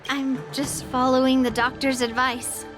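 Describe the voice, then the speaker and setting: Cocky young swordswoman in a game, highish voice, smug, music under it. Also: level tone, measured pace, fairly bright tone. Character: cocky young female sword master, proud of her toughness. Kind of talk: video game voice acting. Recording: music playing behind the voice.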